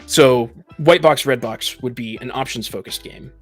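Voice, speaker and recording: A man's voice: mid-range voice, moderate pace, soft background music. A man in a casual discussion, explaining things in an analytical, precise and somewhat argumentative way. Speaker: panelist in a tabletop gaming discussion.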